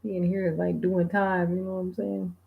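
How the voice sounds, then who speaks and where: A woman in her forties hosting a livestream, chatting casually with viewers, laid-back and quick to laugh. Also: lowish voice, flat delivery, gravelly, phone-quality sound. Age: 40s. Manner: laid-back, laughs often, sings bits. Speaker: livestream host. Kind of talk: casual chat reading viewer comments.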